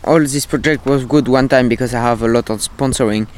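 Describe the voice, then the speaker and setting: Young Frenchman speaking halting English. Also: mid-range voice, fairly even intonation. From France. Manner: halting, simple English with many pauses. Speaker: interview guest, volunteer.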